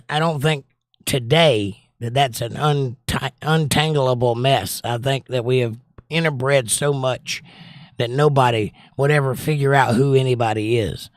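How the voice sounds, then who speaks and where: A slightly hoarse middle-aged man hosting a livestream, chatting casually and teasing his viewers as he reads their comments. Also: medium pitch, a little slow, dry recording, clean studio sound. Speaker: livestream host. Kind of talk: casual chat reading viewer comments aloud.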